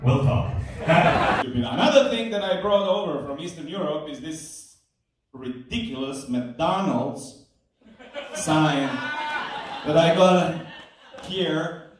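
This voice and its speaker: A man from Croatia with a Croatian accent and Croatian as his first language, doing a wry, self-deprecating stand-up set with halting restarts. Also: on the high side, expressive, far from the mic, background noise. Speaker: stand-up comedian. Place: comedy club stage. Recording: echoey club taped from afar with crowd.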